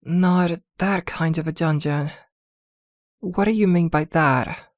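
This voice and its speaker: A possessive witch girlfriend, played by a woman in an intimate ASMR fantasy drama. Her voice sits a little low and moves slowly, with hesitant pauses. She is protective, doting and jealous, recorded very dry and close. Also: no room sound. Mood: concerned, questioning.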